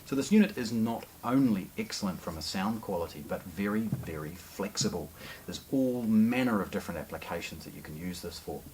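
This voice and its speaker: Low-pitched New man presenting a product. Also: animated, a little fast, darkish tone, slight background noise, low-quality recording. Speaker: shop presenter hosting product unboxing video. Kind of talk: unscripted product walkthrough.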